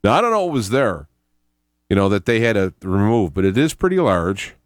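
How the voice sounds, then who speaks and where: A man talks through his own video report. The sound is very dry, with no room echo. His delivery is informal and rambling, halting as he rephrases himself. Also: lowish voice, very animated. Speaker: host of an independent radio show.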